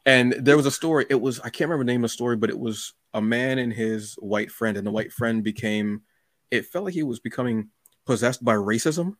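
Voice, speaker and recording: A man's voice: medium pitch, fairly quick, no room sound, studio quality. A man hosting a podcast, talking in a casual, effusive, rambling way and often repeating his words. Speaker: podcast host and interviewer. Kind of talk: casual, chatty interview.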